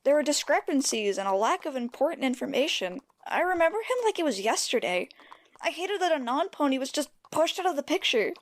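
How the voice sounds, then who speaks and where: A woman's voice: high voice, very animated, a little fast. A woman voicing an earnest, stubborn teenage pony princess in a fan audio drama, outspoken and articulate. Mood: earnest, regretful. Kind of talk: animated-fandom audio drama acting.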